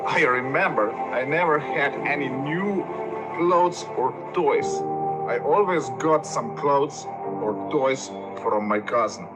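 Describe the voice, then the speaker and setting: A man from Slovenia, telling his childhood story slowly and solemnly. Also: mid-range voice, rough recording. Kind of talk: personal story told to camera. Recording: loud music underneath.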